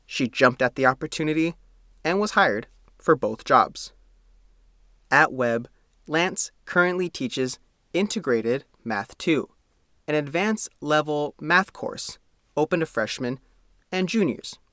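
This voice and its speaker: A man reads a scripted feature story with very animated intonation. His voice sits a little high, and the recording is dry and close. The delivery is measured and formal, like a reporter presenting a profile. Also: no room sound. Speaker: narrator, reporter reading own profile.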